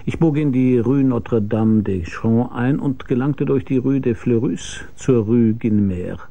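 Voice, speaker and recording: An actor playing a world-weary private detective with a dry, laconic manner and gravelly voice, narrating and acting in a German radio drama. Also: male voice, mid-range voice, a little slow, no echo, muffled recording. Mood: wry, reflective. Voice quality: gravelly, husky, dark. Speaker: first-person narrator and lead.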